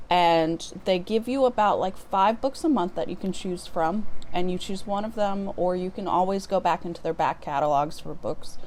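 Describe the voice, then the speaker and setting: Casual, chatty woman with a lowish voice. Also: a little mellow, a little hiss or hum. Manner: chatty, self-deprecating asides. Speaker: book unboxing vlogger. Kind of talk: casual chat to viewers.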